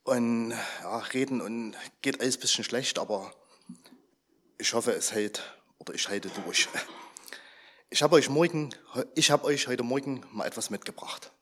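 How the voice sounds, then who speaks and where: A slightly hoarse man who is under the weather gives a chatty, informal talk to a gathered congregation and keeps asking them questions. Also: medium pitch, measured pace, fairly bright tone. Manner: chatty, asks the audience questions.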